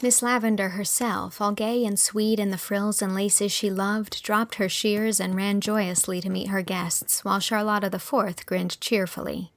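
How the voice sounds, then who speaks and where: Woman, audiobook narrator, scripted storytelling, gravelly creak, dry studio sound. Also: mid-range voice, level tone, measured pace, few pauses, dry recording.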